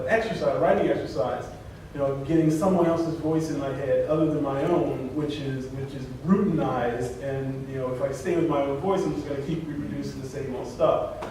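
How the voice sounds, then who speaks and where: A man speaks thoughtfully about his writing, circling back and restarting as he looks for words. His voice is mid-pitched. He is picked up from a distance in a heavily echoing conference room. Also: mellow, slight background noise. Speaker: poet on a panel. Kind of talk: answering audience questions. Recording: echoey room, far from mic.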